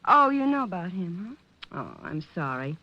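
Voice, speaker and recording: An actress playing a coy, worldly femme fatale with an American accent in an old radio crime drama. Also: female voice, fairly low pitch, sing-song, normal speed. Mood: surprised, questioning. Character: sultry, flirtatious, cool femme fatale wife. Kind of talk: 1940s-50s radio crime drama acting. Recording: dull vintage radio recording.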